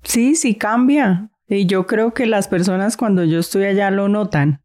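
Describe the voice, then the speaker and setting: A woman from Santander, Colombia, with a softened Santander accent, talking thoughtfully as a guest in a casual conversation. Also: medium pitch, unhurried. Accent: Santander Colombian Spanish, softened.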